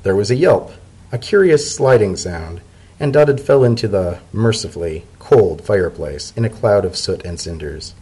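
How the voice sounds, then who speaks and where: A man serves as narrator in a dramatic reading of a story. His voice sits fairly low, with a husky edge. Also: expressive, moderate pace, dark tone, poor audio.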